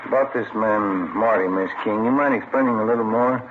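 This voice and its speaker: An actor playing a police detective, the sergeant's partner, in a 1950s radio drama, brisk and matter-of-fact. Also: male voice, on the low side, natural voice. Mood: probing. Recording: noisy, narrow-band vintage radio recording.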